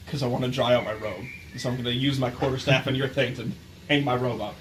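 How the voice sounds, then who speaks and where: A man's voice: medium pitch, steady tone, fast talker, a little hiss or hum. A man chatting casually and jokingly as a player in a tabletop game session. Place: room, in-person game session.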